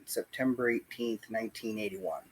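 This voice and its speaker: A man in his forties, born in Puerto Rico and raised in the US South, recalls his life in a casual video-call interview, pausing often and thinking aloud. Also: lowish voice, steady tone, slight background noise, low-quality recording. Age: 40s. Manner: slow, reflective, pauses often with "um" and "like". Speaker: interview guest. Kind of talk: casual oral history interview.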